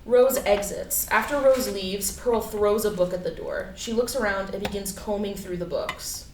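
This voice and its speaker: Female stage-directions reader, mid-pitched, scripted narration, echoey and away from the mic. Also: fairly even intonation, moderate pace. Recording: echoey, distant mic, faint background noise.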